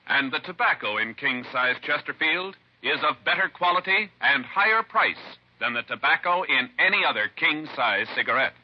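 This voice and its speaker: A man's voice: fairly high pitch, lively intonation, normal speed, hardly any pauses. A man working as a radio announcer in a formal, emphatic 1950s broadcast advertising style. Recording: dull vintage radio recording.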